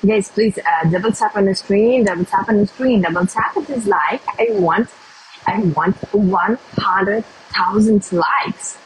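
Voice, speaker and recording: A young woman from Russia with a Russian accent, hosting a casual livestream while eating, speaking simple English slowly with many pauses and hums. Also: medium pitch, slight background noise, low-quality recording. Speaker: livestream host. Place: indoors at a table by a window.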